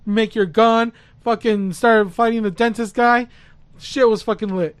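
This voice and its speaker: High-voiced dude on a podcast, smooth clean sound, dry close mic, swears a ton. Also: male voice, steady tone, unhurried, no echo. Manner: hyped, heavy swearing, slangy. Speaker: podcast co-host. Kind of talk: casual chat.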